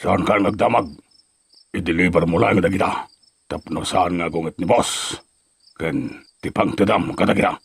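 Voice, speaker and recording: A man playing a gruff foreman in a drug gang in an Ilocano audio crime drama. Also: sits low, moderate pace, pauses often, dry recording, exaggerated voice. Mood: stern, bossy. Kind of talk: Ilocano radio-style crime drama acting.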